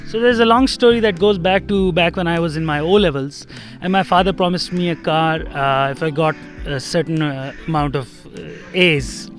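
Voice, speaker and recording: A man's voice: on the high side, moderate pace. Young man from Pakistan answering interview questions. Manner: relaxed, uh and um fillers, laughs lightly. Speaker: guest. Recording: faint music underneath.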